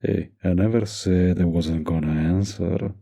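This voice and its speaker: An actor playing a doting, dominant young mafia heir in an intimate boyfriend roleplay, with a gravelly, husky voice and a murmured, teasing, possessive manner. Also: male voice, sits low, fairly even intonation, a little slow, warm tone, full character voice. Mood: amused, teasing.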